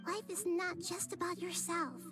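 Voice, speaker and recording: A gentle, wise young goddess speaks in a high, soft and thoughtful voice. She sounds calm and earnest, with game music playing behind her. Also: measured pace. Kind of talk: game cutscene voice acting.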